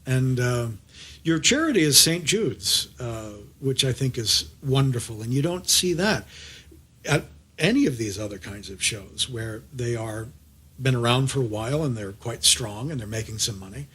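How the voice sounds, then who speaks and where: Casting: male show host, rough husky mid-range voice, chatty, effusive, feeling his way through sentences. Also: mid-range voice, animated, moderate pace, bright, forceful tone. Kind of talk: casual conversational interview.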